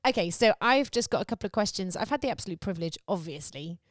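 A woman's voice: medium pitch, lively intonation, quick pace, no room sound, clean studio sound. Bubbly English woman hosting a podcast. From England. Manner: laughs often, bubbly. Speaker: podcast host. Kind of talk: casual podcast chat.

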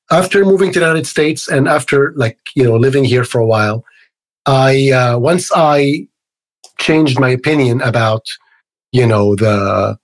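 A man from Egypt with an Egyptian accent, answering conversationally as an interview guest, often repeating words as he works through his explanation. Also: mid-range voice. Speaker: interview guest, activist and organization co-founder.

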